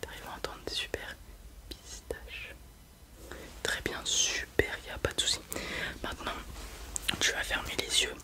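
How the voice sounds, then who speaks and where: An ASMR creator whispering softly and without voice while running a roleplay exam, giving gentle praise after every answer. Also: unhurried, pauses often. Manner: soft, unvoiced whispering throughout. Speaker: ASMR creator playing an examiner. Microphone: close mic with left and right ear whispering.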